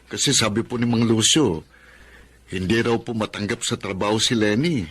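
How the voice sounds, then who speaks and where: A man's voice: lowish voice, moderate pace, husky. A man acting in a radio drama as a respectful young son, deferential and matter-of-fact. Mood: polite, informative. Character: polite, respectful young working son and brother.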